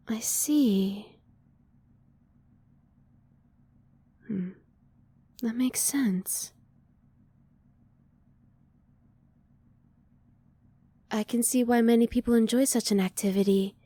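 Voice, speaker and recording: A woman voices a polite, analytical alien woman with a fairly high, mellow voice. She is soft-spoken and emotionally reserved, choosing formal words with measured curiosity. The sound is close and dry, in the style of an ASMR roleplay. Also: dry recording, natural voice. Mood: thoughtful, polite. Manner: formal, measured, precise diction.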